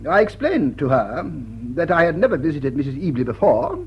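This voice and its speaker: Self-important aristocrat for radio comedy-drama; wounded, halting, very animated delivery, dull lo-fi recording. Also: male voice, a little high, slightly rough, heavily acted. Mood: apologetic, insistent. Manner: pompous, halting, repeats himself for emphasis. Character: pompous, flustered English lord, self-important and wounded.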